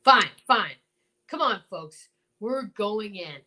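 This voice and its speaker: A woman's voice: medium pitch, animated, slow, sharp tone. A woman playing a determined, blustering male marshal in an old-time radio serial, with an emphatic, folksy, commanding delivery.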